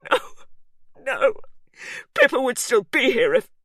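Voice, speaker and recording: A woman voicing a desperate young husband who shouts in panic and grief. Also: on the low side, a little slow, breathy edge, no echo, studio quality. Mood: frantic.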